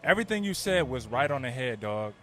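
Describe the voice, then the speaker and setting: A man's voice: mid-range voice, sing-song, brisk, a bit bright. A male podcast host interviewing a guest outdoors, laid-back and full of affirmations and slang. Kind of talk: casual interview. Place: outdoors at a busy event. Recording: music behind, outdoors.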